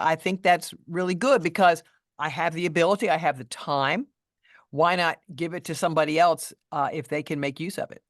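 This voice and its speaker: Woman with a deeper voice. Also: dry recording, studio quality. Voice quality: deeper voice than many women. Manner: measured, thoughtful, with restarts and fillers. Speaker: podcast guest, voice coach. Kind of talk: casual interview conversation.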